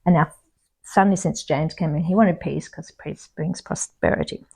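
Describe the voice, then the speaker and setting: A woman author talking casually in an interview, chatty with quick restarts and easy laughter. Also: sits low, vocal fry, husky. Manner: reads her own prose aloud with lively expression. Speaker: author and podcast guest. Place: home near trees with birds outside.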